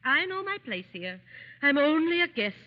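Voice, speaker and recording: A woman's voice: high-pitched, very animated, moderate pace. An actress playing an elderly, meddling mother-in-law in an old radio drama, prickly and self-pitying. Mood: martyred, indignant. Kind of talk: 1940s radio drama acting. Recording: muffled old radio broadcast.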